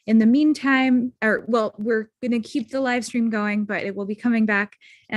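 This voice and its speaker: Female event host for informal live announcements. Polite, appreciative delivery, medium pitch, very little room sound. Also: no room sound, studio quality.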